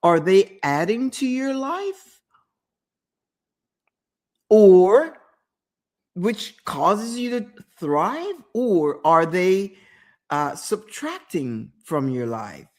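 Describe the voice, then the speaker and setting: A woman's voice: mid-range voice, big pitch swings, very slow, long pauses, darkish tone. A woman hosting a motivational talk show, retelling a story with emphatic repetition and speaking directly to the listener. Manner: emphatic, repeats words for effect, addresses listener directly; storytelling with quoted inner thoughts. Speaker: host.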